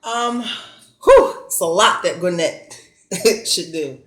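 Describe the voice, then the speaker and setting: A woman in her forties, a barber and barber educator, answering interview questions slowly and thoughtfully. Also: fairly low pitch, expressive. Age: 40s. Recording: echoey room, faint music.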